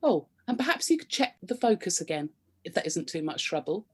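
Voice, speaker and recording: An actress playing a prim, suspicious middle-aged wife in a comic radio play, scolding and sarcastic. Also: female voice, on the low side, very animated, a little fast, slightly rough.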